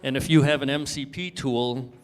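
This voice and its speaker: A man's voice: medium pitch, steady tone, moderate pace, smooth, big-room sound. A man on a panel, explaining his views and thinking aloud as he goes. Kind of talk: panel discussion.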